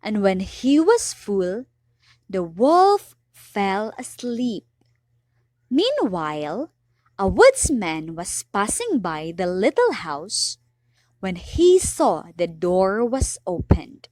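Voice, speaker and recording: A woman's voice: medium pitch, a little mellow, no room sound. A woman narrating a children's fairy tale from a script in a dramatic storybook style.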